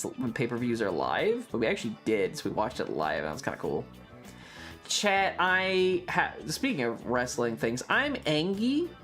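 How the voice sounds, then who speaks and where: A livestream host chatting casually and emphatically, rambling and swearing freely. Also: mid-range voice. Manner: swearing, emphatic, animated ranting.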